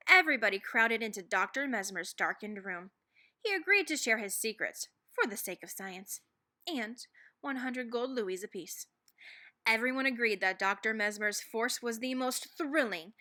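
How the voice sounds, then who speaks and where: A woman reading a children's book aloud as narrator, expressively and dramatically, leaning on key words and pausing for effect. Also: on the high side, bright, forceful tone. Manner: dramatic, with stressed words and pauses. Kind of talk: expressive scripted storybook reading.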